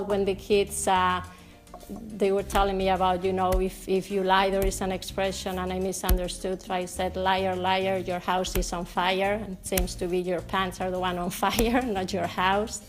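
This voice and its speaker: A woman's voice: medium pitch, level tone, measured pace, soft background music. A female teacher from Spain with a Spanish accent, telling stories on camera with fillers. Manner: anecdotal, fillers, laughs while telling. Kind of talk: interview testimonial.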